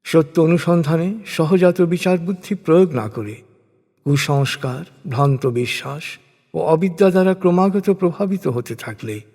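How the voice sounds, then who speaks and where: A man reads translated scripture and commentary as a solemn, scripted narration, in measured, deliberate phrases with pauses. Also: a little high, darkish tone, husky. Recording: long hall-like reverb on voice.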